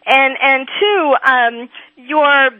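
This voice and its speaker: A woman hosting a radio interview, asking halting questions full of restarts. Her voice is somewhat high. The sound is dry and narrow, like a phone line. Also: no echo. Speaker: radio host.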